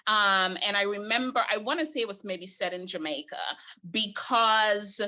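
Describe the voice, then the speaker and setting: Woman from Guyana, librarian guest, bright tone, hesitant and reflective. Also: mid-range voice, moderate pace. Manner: hesitant, thoughtful, many fillers and restarts. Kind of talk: casual interview chat.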